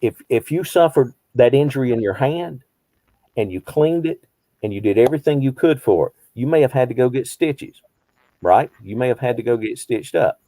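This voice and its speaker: A man's voice: mid-range voice, animated, moderate pace, warm tone, no room sound, low-quality recording. A man in his fifties, a behavioral health counselor, teaching a small group conversationally, posing rhetorical questions and repeating phrases for emphasis. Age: 50s.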